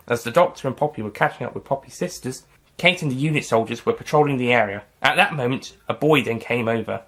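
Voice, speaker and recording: A man's voice: medium pitch, fast talker, fairly bright tone. A man narrating his own fan audio story in a plain storybook reading. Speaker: writer and reader.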